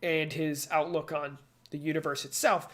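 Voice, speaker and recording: A man's voice: a little high, flat delivery, moderate pace, a bit bright. Musing male podcast co-host. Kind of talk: casual pop culture discussion.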